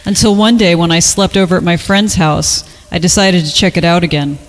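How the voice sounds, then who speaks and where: A person reading a story aloud on a comedy podcast and dropping in deadpan joking asides. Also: medium pitch, a little fast, a little hiss or hum, rough recording. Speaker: podcast reader and commentator. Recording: music behind the voice.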